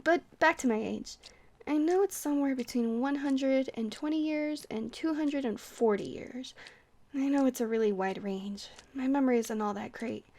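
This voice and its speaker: A woman acting as a kindly vampire bartender with a fairly high voice. She talks in a gentle, chatty ASMR roleplay way, rambling whimsically as if to one companion. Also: moderate pace. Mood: chatty, sheepish. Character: friendly, rambling young-looking vampire bartender woman, centuries old.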